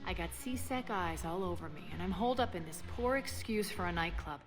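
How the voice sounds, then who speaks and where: A woman's voice: fairly low pitch, lively intonation, fairly quick, close to an everyday voice. An actress playing a cynical, imperious female alien crime boss in a video game, dry and commanding. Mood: bitter, sardonic. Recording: long reverb, music behind. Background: prominent music.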